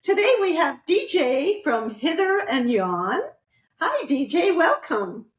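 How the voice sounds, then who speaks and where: A woman's voice: high-pitched, very animated, slow pace, echoey room. A woman hosting a podcast, chatting casually and upbeat with a guest, often laughing. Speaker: podcast host. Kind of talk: casual interview chat.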